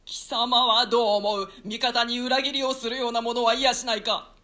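A man's voice: very high voice, level tone, moderate pace, sharp tone. An actor playing a guilt-ridden villain king, delivering an anguished theatrical monologue in a staged radio-play reading. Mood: uneasy.

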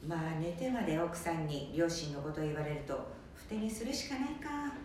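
A woman's voice: low-pitched, animated, a little fast, a little mellow. A woman narrating an amateur radio drama as its presenter. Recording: echoey room, distant mic, faint noise.

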